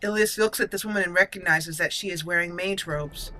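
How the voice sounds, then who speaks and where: A woman's voice: on the low side, fairly quick, pushed and bright, a little hiss or hum. A woman hosting a gaming channel, giving role-play commentary and speaking lines in character.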